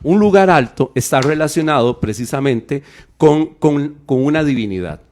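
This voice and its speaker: A man's voice: a little high, moderate pace. Costa Rican man teaching on the radio. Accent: Costa Rican Spanish. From Costa Rica. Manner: lively, digressive, with many restarts and fillers. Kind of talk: explanatory radio Bible talk. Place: radio studio.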